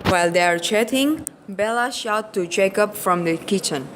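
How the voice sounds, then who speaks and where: A woman's voice: mid-range voice, moderate pace, a little hiss or hum. A woman narrating an amateur radio play from a script.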